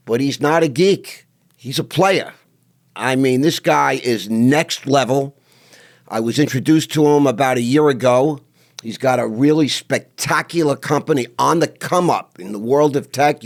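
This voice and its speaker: Gravelly brash man hosting a podcast. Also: mid-range voice. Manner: brash, hype-man banter. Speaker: podcast host. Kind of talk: casual interview. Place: podcast studio.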